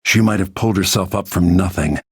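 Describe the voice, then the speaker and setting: Brooding middle-aged game assassin, really raspy deep male voice, grim quiet menace, close dry sound. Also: fairly quick, no echo. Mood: grim, determined. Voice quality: gravelly, husky. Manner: grim, low-key, menacing. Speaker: video game voice actor. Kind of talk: dramatic game voice lines, brooding inner monologue.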